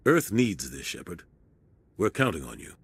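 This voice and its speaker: A deep-voiced steady starship captain. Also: male voice, moderate pace, breathy edge, no echo, exaggerated voice. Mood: earnest. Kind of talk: video game cutscene acting.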